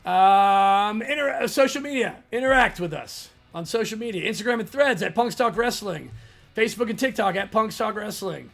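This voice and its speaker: High, gravelly-voiced slightly hoarse man. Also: animated, normal speed, faint music behind. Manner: chatty, reads plugs and shout-outs. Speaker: podcast co-host. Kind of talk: casual wrestling podcast chat.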